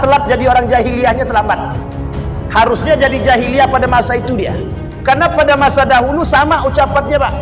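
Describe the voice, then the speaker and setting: A man's voice: high-pitched, reverberant, in a large hall. A man giving an impassioned Islamic sermon at a dawn gathering. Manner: impassioned preaching, then measured chanted Arabic prayers. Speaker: Islamic preacher.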